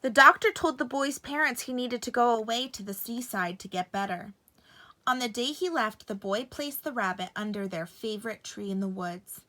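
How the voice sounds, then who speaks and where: Bright-voiced woman reading a children's story. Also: a little high, normal speed, a little hiss or hum. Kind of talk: expressive bedtime story narration.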